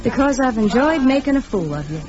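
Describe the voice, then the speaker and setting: A woman's voice: sits high, very animated, a little fast. A woman playing a cool, taunting American frontier outlaw who schemes, in an old radio Western. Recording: muffled old radio recording, busy crowd behind.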